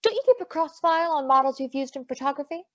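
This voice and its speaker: A woman's voice: high voice, expressive, fast talker, a bit bright, no echo. Sassy lady private eye, woman acting it, wry radio play quips, dry close sound, bit dull. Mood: playful. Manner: breezy, wry, quippy. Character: sassy, wisecracking lady private detective, confident and flirtatious. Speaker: detective lead and narrator. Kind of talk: old-time radio detective acting and first-person narration. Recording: dull lo-fi audio.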